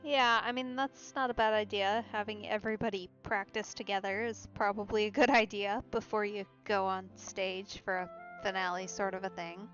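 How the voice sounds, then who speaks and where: A woman's voice: medium pitch, steady tone, normal speed, hardly any pauses, fairly bright tone, highs cut off, faint music behind. A woman game streamer giving casual, musing live let's play commentary in a conversational way.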